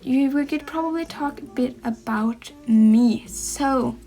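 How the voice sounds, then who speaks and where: A Norwegian teenage girl with a Norwegian accent, speaking English as a second language and chatting casually to camera with many pauses and ums. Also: sits high, mellow. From Norway. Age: teens. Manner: rambling, hesitant, frequent ums. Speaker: art channel host.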